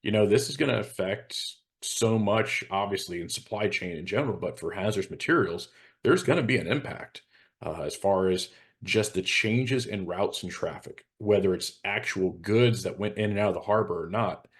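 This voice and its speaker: A man's voice: on the low side, moderate pace. A man talking as a show host in a casual professional discussion, explaining and giving practical advice. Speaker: hazmat consultant and show host.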